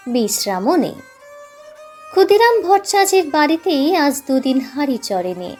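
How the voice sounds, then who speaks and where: A woman reading a scripted literary story as a dramatic narrator. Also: a little high. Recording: music playing behind the voice.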